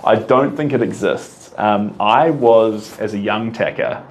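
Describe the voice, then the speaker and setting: A young New Zealand man with a slightly low voice chats through viewer questions about his art. He rambles with enthusiasm, full of ums and restarts, over faint background ambience. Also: unhurried, a little hiss or hum. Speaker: artist answering viewer questions. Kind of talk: casual Q&A monologue.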